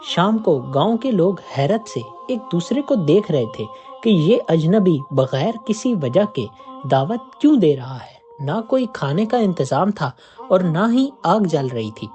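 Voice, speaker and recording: A storyteller reading a scripted moral folk tale in an expressive way. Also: medium pitch, measured pace, faint music behind.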